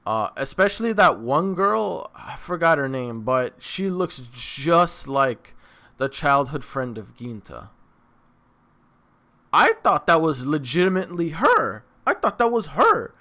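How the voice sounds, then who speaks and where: A man's voice: a little high, big pitch swings, measured pace, bright, forceful tone. A man hosting a reaction channel, rambling casually to his viewers in informal slang and repeating himself for emphasis. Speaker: host of an anime reaction channel.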